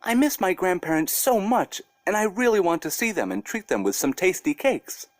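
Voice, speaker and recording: A man puts on a clever little storybook girl, raised well above his normal pitch. The voice is sing-song and insistent, pleading but bossy, with lively swings. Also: normal speed, no echo.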